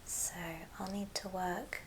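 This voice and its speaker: A woman whispering a gentle, step-by-step ASMR craft tutorial in a soft, breathy voice. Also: medium pitch, flat delivery. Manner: unhurried, gentle step-by-step instruction. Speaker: ASMR host demonstrating a craft. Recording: clear background hiss and clicks.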